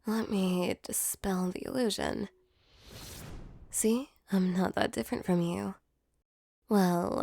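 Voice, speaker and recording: A woman plays a female sleep paralysis demon in an intimate audio roleplay. Her voice is soft and mid-pitched with a noticeable fry. She is a teasing monster with a tender, apologetic side, heard up close in a very dry space. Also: no room sound. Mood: tender, soothing.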